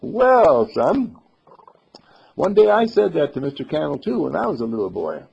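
Man as a hearty, husky church-bell organist. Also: a little high, big pitch swings, moderate pace, lo-fi sound, voice pushed up, heavily acted. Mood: warm reminiscing. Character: friendly professional church-bell organist, chatty and avuncular.

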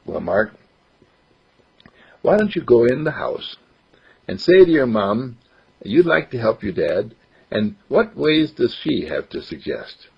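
A kindly magical candle from a storybook, voiced by a man, gentle and patient as it coaxes a child with questions. The voice is gravelly and husky. The sound is dull and lo-fi. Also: medium pitch, big pitch swings, slow, pauses often, full character voice. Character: kindly magical talking candle, older male.

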